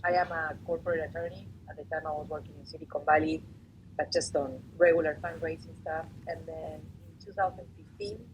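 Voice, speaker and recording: A woman from Argentina speaks with a clear Argentine accent in a relaxed, conversational way, starting over now and then mid-sentence. She is a corporate attorney joining a panel. A steady background hiss runs under her voice. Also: low-pitched, a little fast, muffled recording. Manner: relaxed, with restarts and filler words. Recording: noisy, hissy recording.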